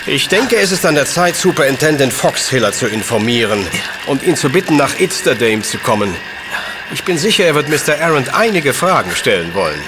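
An actor playing a brilliant, pedantic professor detective in a German audio drama, speaking in precise, formal, commanding sentences. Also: male voice, mid-range voice, big pitch swings, fairly quick, noisy background, natural voice. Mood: matter-of-fact, analytical.

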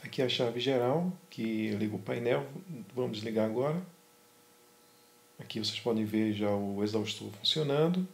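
A man calmly and methodically walking through his home flight simulator, recorded on a camera in a small room. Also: fairly low pitch, normal speed, vocal fry. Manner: calm, methodical, pointing things out. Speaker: flight sim hobbyist. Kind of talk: casual show-and-tell narration. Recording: roomy, with loud fan and engine noise.

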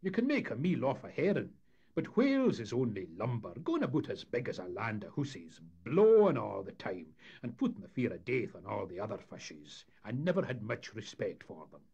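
A man voicing a cautious, opinionated Scottish boat skipper who makes deliberate, dismissive pronouncements in a storybook tale. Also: on the low side, wildly swooping pitch, creaky, dark tone, slightly rough, highs cut off, pitched above the performer's own voice, heavily acted. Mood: scornful. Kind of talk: storybook character voice in humorous tale.

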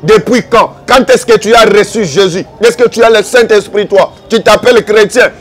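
High-pitched Congolese preacher, exhorting man. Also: moderate pace, soft background music. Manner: exhorting, accusatory, repetitive rhetorical questions. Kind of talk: fervent evangelical sermon.

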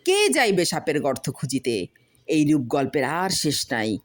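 A woman storyteller giving an expressive scripted narration of a humorous folk tale. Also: deep voice, unhurried.

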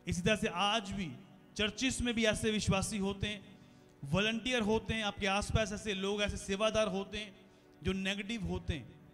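High-voiced preacher in a reverberant hall. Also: male voice, fairly even intonation, moderate pace. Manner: preaching with questions to the congregation, growing louder toward the close. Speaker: pastor. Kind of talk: sermon. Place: large decorated church hall, indoors. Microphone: handheld mic. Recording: long hall reverb with faint music.

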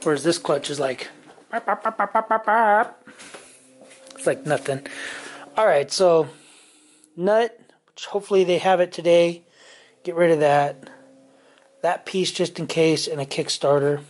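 A man casually talking through motorcycle parts in his home garage, rambling with many pauses and fillers, recorded on a handheld camera. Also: on the high side, faint music behind. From California. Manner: rambling, many ums and restarts. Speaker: motorcycle vlogger. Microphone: handheld camera mic, off-screen.

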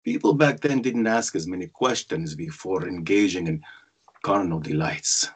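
A man's voice: low voice, normal speed, breathy edge. A man playing a wry, brooding grown son who narrates his parents' story in a screenplay cold read over a video call. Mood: wry, reflective. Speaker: actor reading narrator part. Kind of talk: dramatic first-person narration at a cold read. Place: indoor room on a video call.

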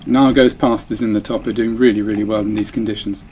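A man's voice: fairly low pitch, steady tone, fast talker, darkish tone, slight background noise, poor audio. A man narrating his own dinghy race, chatty and self-deprecating, often breaking off mid-phrase. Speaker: dinghy racing sailor. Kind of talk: voice-over commentary on race footage.

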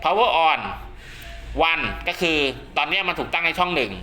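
A man's voice: on the high side, lively intonation, moderate pace, bright, forceful tone. A man giving a casual spoken how-to demonstration, often checking that listeners follow along. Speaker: radio hobbyist presenter.

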